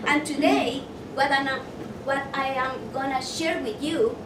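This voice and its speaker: High-voiced woman leading a workshop. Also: fairly even intonation. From Ecuador. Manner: slow and deliberate, asks the audience questions. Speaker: workshop presenter. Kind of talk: motivational workshop talk. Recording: echoey room, mic far off, noisy.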